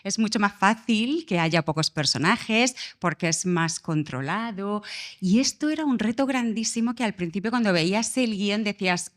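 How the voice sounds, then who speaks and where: A woman who directs audio drama, explaining her work on a panel in a lively, animated way. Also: mid-range voice, normal speed. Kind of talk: panel discussion.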